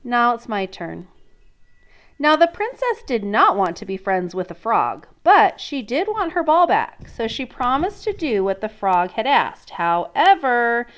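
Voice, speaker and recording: A woman teacher reading a storybook aloud in an expressive, instructional way, prompting listeners with questions. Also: mid-range voice, a little slow. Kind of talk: interactive storybook read-aloud lesson.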